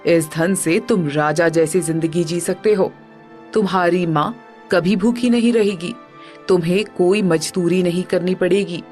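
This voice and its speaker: A woman's voice: lowish voice, fairly even intonation, unhurried, a little mellow, faint music behind, close to an everyday voice. Woman as a mysterious, tempting spirit.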